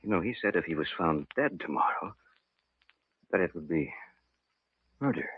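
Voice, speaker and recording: An actor playing a skeptical, down-to-earth, plain-spoken sidekick in an old-time radio drama. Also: male voice, low-pitched, animated, moderate pace. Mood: wary. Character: skeptical, down-to-earth fishing companion. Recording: dull, noisy old radio recording.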